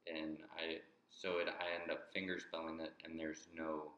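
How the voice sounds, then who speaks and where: A deep-voiced man talks through an idea in a halting way, restarting and repeating words as he goes. His tone is bright and forceful despite the low pitch, and the room around him rings with echo. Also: completely flat delivery. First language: English. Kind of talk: explanatory monologue.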